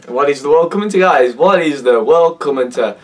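Hoarse teenage Cumbrian lad. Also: male voice, on the high side, animated, normal speed, distant mic. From Cumbria, England. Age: teens. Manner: laughing, swearing, incredulous. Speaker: YouTuber. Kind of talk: casual reaction vlog. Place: bedroom, indoors. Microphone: webcam at desk.

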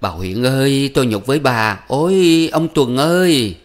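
A man's voice: medium pitch, expressive, measured pace, voice pushed up, close to an everyday voice. A man voicing a furious, domineering older mother-in-law who scolds and wails melodramatically. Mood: raging, wailing. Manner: scolding, wailing, self-pitying.

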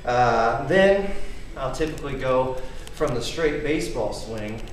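A man's voice: medium pitch, lively intonation, measured pace, slight background noise. Rambly guy in his 30s showing off gym gear, big echo, mic way across the garage. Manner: rambling, chatty, keeps asking "okay?". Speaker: home fitness vlogger. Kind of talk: casual demonstration and storytelling. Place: large wood-paneled garage at night. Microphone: camera mic from across the room. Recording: echoey garage, voice from far off.

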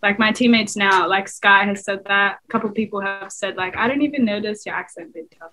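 A woman's voice: medium pitch, fairly quick, sharp tone. Young Australian woman, chatty. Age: young adult. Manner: casual, chatty, lots of fillers. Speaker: college basketball player. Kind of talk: video call interview. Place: small room, indoors.

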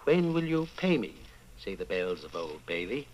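A man playing a calm, authoritative underground leader, speaking gravely and deliberately in an old-time radio drama. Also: deep voice, slight background noise. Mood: slow, solemn. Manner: measured, deliberate, solemn.

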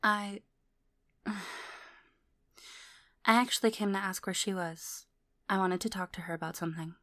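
A woman's voice: medium pitch, unhurried, fairly bright tone, no echo, clean studio sound, close to an everyday voice. A woman playing a composed, guarded ex-wife and mother in an audio roleplay. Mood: polite, guarded.

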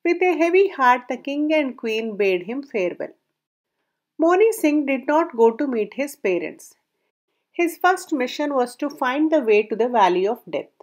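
A woman narrating a folk tale aloud in a measured storybook reading, her sentences falling at the ends. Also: sits high, big pitch swings.

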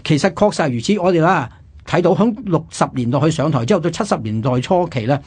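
Higher-voiced, raspy Hong Kong Cantonese man. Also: animated, quick pace, a little mellow. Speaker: radio history host. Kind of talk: conversational history lecture.

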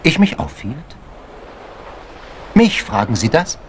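An actor in a German crime radio play playing a self-important member of parliament, pompous and easily affronted. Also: male voice, mid-range voice, very animated, takes it slowly, slight background noise. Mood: haughty, indignant. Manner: pompous, indignant, hesitant.

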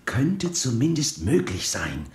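An actor playing a suspicious, level-headed Wild West sheriff in a German children's audio drama, speaking in a measured, probing way. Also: male voice, medium pitch, big pitch swings, roomy sound. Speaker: sheriff and narrator.

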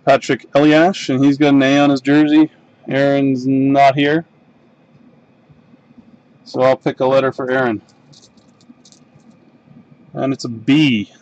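Slow-talking Canadian man breaking card packs. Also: mid-range voice, flat delivery, a little mellow, no room sound, lo-fi sound. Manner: unhurried, mumbling card names while opening packs. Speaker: box break host. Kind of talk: casual live-stream card break commentary. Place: desk in a home card room.

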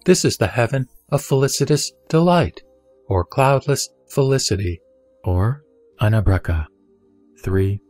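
A man reciting a Buddhist scripture in a measured, solemn way, carefully sounding out Sanskrit names. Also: mid-range voice, animated, a little mellow, no room sound, faint music behind. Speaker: sutra reciter.

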